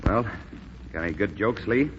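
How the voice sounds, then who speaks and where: An actor playing a hard-headed, gloomy young American lieutenant in an old-time radio drama, with dry, emotional delivery. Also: male voice, lowish voice, animated, moderate pace, natural voice. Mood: subdued. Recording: noisy, dull vintage radio recording.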